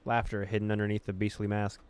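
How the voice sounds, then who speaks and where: A man hosting a gaming video, reading game text aloud in an expressive storytelling way with casual, amused asides. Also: on the low side, fast talker.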